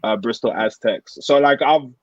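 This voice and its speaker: A man's voice: mid-range voice, normal speed, no echo, dull audio. A man of thirty chatting casually as a podcast guest, in an informal, slangy and earnest way. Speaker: guest, American football player.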